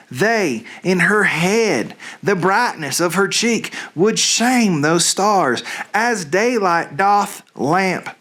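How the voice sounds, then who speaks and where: An ardent young lover acted out by a man declaims romantic stage verse in a dreamy, halting way. The pace is slow and the pitch sweeps widely. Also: fairly bright tone, exaggerated voice.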